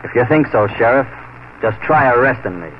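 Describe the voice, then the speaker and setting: An actor playing a steady, fearless western lawman who talks in a clipped, challenging way in a 1940s radio drama. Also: medium pitch, a little fast, close to an everyday voice. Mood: firm, defiant. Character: steady, fearless frontier lawman, blunt and taunting. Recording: muffled, noisy 1940s radio broadcast.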